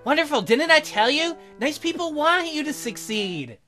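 A man's voice: very high voice, very animated, moderate pace, pushed and bright, soft background music, pitched above the performer's own voice, heavily acted. A man voicing an upbeat, encouraging young woman in a band for a visual novel, breezy and friendly. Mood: cheerful. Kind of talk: visual novel character read-aloud.

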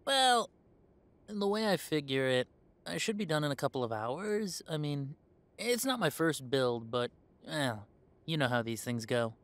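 A man's voice: fairly high pitch, sing-song, a little slow, fairly bright tone, exaggerated voice. A man playing an easygoing, confident young werewolf in a roleplay audio drama, talking in a chatty, hesitant, conversational way. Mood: casual, easygoing. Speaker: voice actor.

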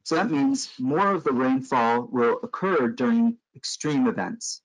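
A middle-aged American man from Los Angeles lecturing at a conference over slides. His voice sits at an ordinary middle pitch, and he explains step by step in a measured way, with plenty of ums and uhs. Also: lively intonation, poor audio. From Los Angeles, California. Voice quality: measured, explanatory, frequent um and uh fillers.

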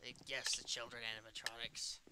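A man's voice: medium pitch, completely flat delivery, fairly quick, pushed and bright. A man playing a smug, taunting evil puppet killer in an improvised game role-play, with a nonchalant manner. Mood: smugly taunting.

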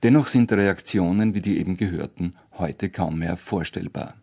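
A man presenting a scripted radio introduction with a husky voice. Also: low-pitched, darkish tone.

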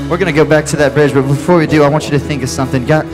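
A man leading worship, speaking quickly and urgently to a congregation from a church stage. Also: on the high side, fairly even intonation, music in the background. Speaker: worship leader. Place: church stage, large hall.

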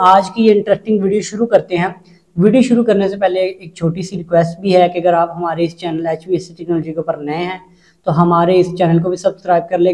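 A man's voice: sits high, dark tone, roomy sound, rough recording. A man in his thirties, talking casually to camera as he explains a technical drawing step by step, with restarts and fillers, on a lapel mic in an office. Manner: conversational teaching with frequent fillers and restarts. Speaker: HVAC instructor. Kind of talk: technical tutorial explaining a diagram. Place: office with desks and computers.

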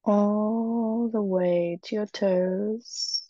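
A woman leading a guided meditation in a soft, breathy, soothing voice, with short phrases and long pauses. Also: mid-range voice, steady tone, no echo. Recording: dull, muffled, dry recording.

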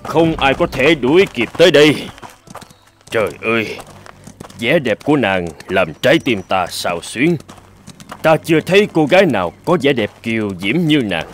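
A man's voice: mid-range voice, big pitch swings, moderate pace, slight background noise, close to an everyday voice. A man voicing a scheming old male sage in a cartoon fairy tale, gloating and wheedling. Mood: smitten.

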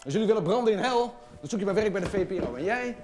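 Outraged, pompous boss, high-pitched. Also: male voice, lively intonation, very fast, darkish tone. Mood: furious. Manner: scolding, pompous, commanding. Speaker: actor. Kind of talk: short film acting.

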